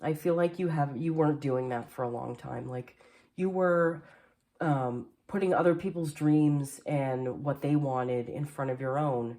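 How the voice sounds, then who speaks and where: Chatty woman with a low, mellow voice. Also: a little slow. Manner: chatty, filler-heavy, frequent "like" and "um". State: slightly nasal and hoarse from allergies. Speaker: tarot reader. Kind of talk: casual tarot reading voice-over. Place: home, voice-over behind the camera.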